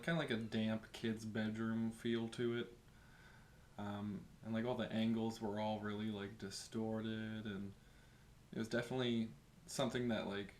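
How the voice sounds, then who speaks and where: A man with a slightly low voice talks over faint background noise. His phrasing is loose and hesitant, in the casual way of a co-host chatting about films. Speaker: podcast co-host. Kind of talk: casual film discussion.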